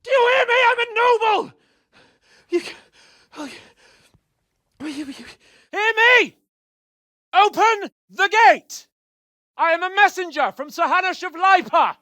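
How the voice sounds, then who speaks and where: An actor playing a haughty, hot-headed young nobleman in a video game, pompous and sharp-tongued. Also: extremely high pitch, lively intonation, a little slow, pauses often, no echo, clean studio sound, heavily acted. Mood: shouting, indignant.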